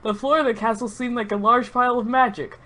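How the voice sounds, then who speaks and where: A woman's voice: on the high side, fairly even intonation, fast, a bit bright, a little hiss or hum. A woman reading an absurd story aloud with mock-serious drama, often breaking into giggles.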